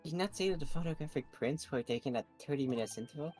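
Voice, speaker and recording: A woman's voice: low-pitched, fast talker, a little mellow, soft background music, natural voice. A woman voicing a polite, inquisitive young assistant in a fan dub of a game, asking formal questions. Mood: inquiring.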